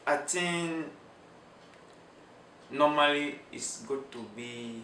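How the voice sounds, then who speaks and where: Man, Cameroonian French accent, slow halting speech, bright tone, echoey room, faint background noise. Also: on the high side, far from the mic. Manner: slow, halting, with many pauses and restarts. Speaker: interviewee. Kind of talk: interview answers.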